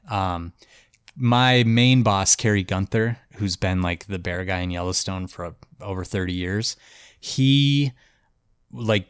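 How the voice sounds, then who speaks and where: A man's voice: on the low side, no room sound. Congested man telling a story, dry sound. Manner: loose and chatty, with many "like" and "um" fillers. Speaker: podcast host and storyteller. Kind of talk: casual storytelling chat.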